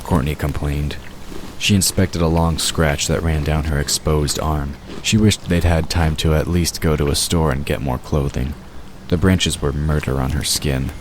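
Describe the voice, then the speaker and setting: A man with an extremely deep voice narrates a scripted audio drama. Clear ambient noise runs behind his storytelling. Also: fairly even intonation, moderate pace.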